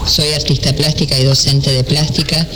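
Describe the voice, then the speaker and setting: A woman art teacher recording a calm, reflective voice message at school. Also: low-pitched, moderate pace. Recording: phone recording with background noise. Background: noise.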